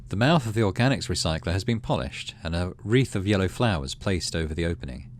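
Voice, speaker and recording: A man hosting a gaming video, reading story text aloud and adding low-key, muttered asides in casual commentary. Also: lively intonation, quick pace, a little hiss or hum. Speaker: gaming channel host.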